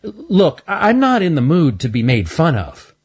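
A timid young boy squirrel from a storybook who stumbles and repeats the start of his words, as a man puts him on. The voice sits high and thin, slow and halting, and slightly husky underneath. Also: very animated, full character voice. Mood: hurt, stammering. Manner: heavy stutter on first sounds. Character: shy young male squirrel who stutters.

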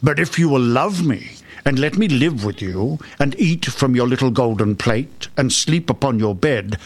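A man's voice: medium pitch, animated, moderate pace, dry recording. A man voicing a polite, earnest talking frog from a fairy tale, with courtly, pleading speech. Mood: earnest, bargaining.

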